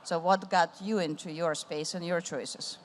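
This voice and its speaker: A woman's voice: fairly low pitch, fairly even intonation, measured pace, slight background noise. Woman moderating a conference panel. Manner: warm, rambling, with restarts. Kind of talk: live panel discussion. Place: conference stage.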